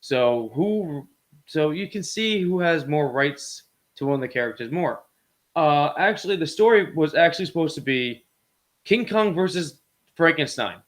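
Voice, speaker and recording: A man's voice: on the high side, expressive, normal speed. A man co-hosting a casual movie chat, rambling informally and often searching for his words. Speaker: podcast co-host.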